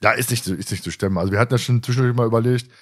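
A man's voice: mid-range voice, very fast. A man hosting a podcast in casual, chatty conversation with a teasing manner. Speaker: podcast host.

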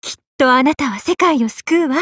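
A woman's voice: sits high, normal speed, dry recording. A woman voicing a tall, affectionate big-sisterly sun goddess in an anime game, swinging between bubbly and tender. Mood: tender, devoted. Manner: swings between excited exclamations and gentle, tender speech. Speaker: game character. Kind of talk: Japanese anime game voice acting.

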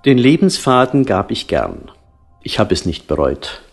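Guy reading mystical poetry real slow and hushed, kinda low voice, new-age music behind him. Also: very animated. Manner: hushed, breathy, drawn-out phrasing, trailing into whispers. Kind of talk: solemn poetic narration, audio drama. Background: new-age instrumental music.

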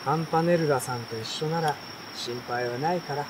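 A man voicing a gentle, caring mother from a storybook, tender and reassuring. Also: fairly high pitch, normal speed, close to an everyday voice. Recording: clear insect and outdoor night noise.

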